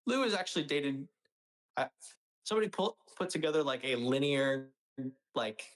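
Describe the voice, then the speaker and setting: A young man chatting as a podcast co-host, blunt and loose, dropping curse words and "dude" and "bro" as he goes. His pitch sits at an ordinary middle level. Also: animated, moderate pace, poor audio. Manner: blunt, swears freely, says dude and bro. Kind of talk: casual podcast chat.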